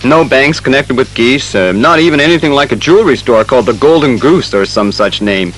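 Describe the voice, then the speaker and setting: An actor playing a brisk, exasperated male police commissioner in an audio drama, testy and emphatic. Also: mid-range voice, animated, fairly bright tone, faint music behind, natural voice, poor audio.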